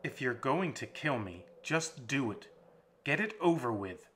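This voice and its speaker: A man's voice: lowish voice, unhurried. A man voicing a battered male captive who faces his captor with firm defiance in a dramatic audiobook reading. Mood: despairing. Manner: desperate, then firmly defiant. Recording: steady background hiss.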